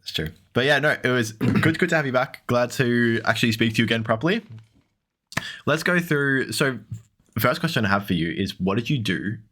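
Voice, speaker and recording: Lively, teasing Australian man. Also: medium pitch, fairly quick. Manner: teasing, laughs easily. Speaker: podcast co-host. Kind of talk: casual podcast chat.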